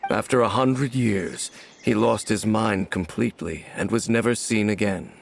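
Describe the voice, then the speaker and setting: An actor playing a grave, composed knightly guide in a video game, measured and solemn as he explains. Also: lowish voice, creaky. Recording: faint ambient noise behind.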